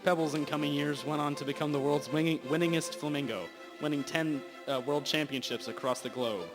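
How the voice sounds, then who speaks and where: A man's voice: a little high, fairly even intonation, brisk, natural voice. A young man playing the storyteller of a detective radio drama, reading the script with occasional stumbles. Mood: reminiscing. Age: teenager or young adult. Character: male storyteller recalling an old detective case. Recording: loud music over amateur recording.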